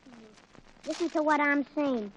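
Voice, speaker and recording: A woman's voice: high-pitched, moderate pace, a little hiss or hum, lightly acted. A woman playing a pesky, gossipy little boy in 1940s radio comedy, baiting coyly and repeating himself. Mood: gossipy excitement. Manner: repetitive, coy baiting. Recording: muffled 1940s radio recording.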